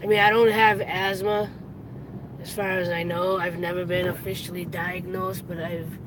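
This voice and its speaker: Raspy-voiced streamer chatting while driving. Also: mid-range voice, little pitch movement, moderate pace. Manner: heavy breathing. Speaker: live streamer. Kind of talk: rambling casual chat while driving. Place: inside a moving car. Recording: road and car noise.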